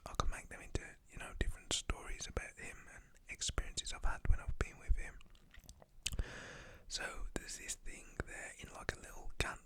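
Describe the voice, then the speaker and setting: A young man whispers a story in ASMR style. His voice is breathy and unvoiced, with a bright, crisp edge to the whisper. He talks loosely and informally, rambling along with plenty of slang. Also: normal speed. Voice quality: whispered, breathy voice without voicing.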